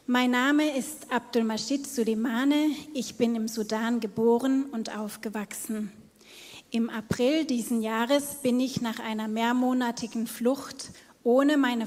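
Woman, slightly high voice, reading a testimony aloud in long hall reverb. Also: a little mellow. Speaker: youth care worker reading another's testimony. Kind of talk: reading a prepared personal story aloud.

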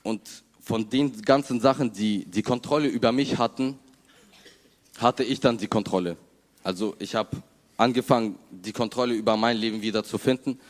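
Young man, low voice, halting personal testimony in a reverberant hall. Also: steady tone. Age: young adult. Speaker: church member giving personal testimony. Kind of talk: personal testimony, spoken unscripted.

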